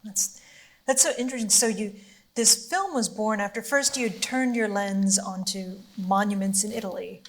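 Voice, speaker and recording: A woman's voice: medium pitch, normal speed. A woman moderating a panel discussion, asking a thoughtful question after attentive listening. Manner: attentive, frequent "mm-hmm" backchannels.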